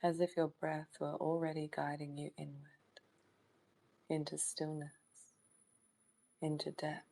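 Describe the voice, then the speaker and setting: A woman leading a guided meditation in a soft, soothing voice that sometimes drops toward a whisper, in short phrases with long pauses. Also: sits low, completely flat delivery, vocal fry. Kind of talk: guided meditation, hypnotic trance induction.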